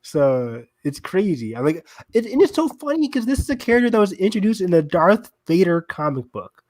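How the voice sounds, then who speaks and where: A man's voice: high voice, sing-song, brisk, a little mellow, no room sound. Enthusiastic man chatting, close dry sound. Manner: gushing, enthusiastic. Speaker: podcast co-host. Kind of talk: casual fan discussion.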